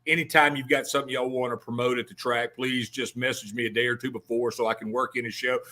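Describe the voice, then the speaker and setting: A man hosting a radio show, talking in a folksy, joking way with halting restarts. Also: medium pitch, flat delivery, sharp tone. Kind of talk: casual on-air interview.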